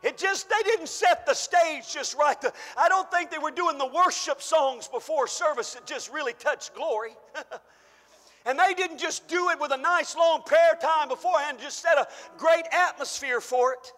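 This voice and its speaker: Male pastor, fervent shouting preacher, strained voice, bright edge, echoing church hall. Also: extremely high pitch, moderate pace, faint music behind. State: losing his voice. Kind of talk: sermon.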